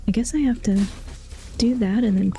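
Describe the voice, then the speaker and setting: A woman's voice: on the high side, moderate pace, mellow, soft background music. A woman livestreamer chatting casually while she plays a game, in a rambling, self-amused way. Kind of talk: casual chat while playing.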